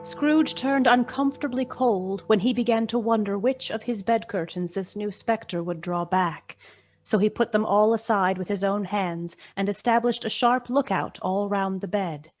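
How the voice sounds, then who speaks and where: A woman's voice: mid-range voice, normal speed, close to an everyday voice. A woman giving scripted story narration as the narrator of a radio play.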